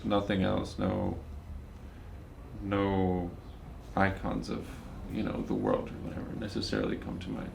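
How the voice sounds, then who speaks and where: Easygoing young man with a gravelly voice. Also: deep voice, fairly even intonation, unhurried, a little hiss or hum. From Venezuela. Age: young adult. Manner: easygoing, laughs often. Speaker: volunteer. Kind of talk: casual conversation. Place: charity kitchen.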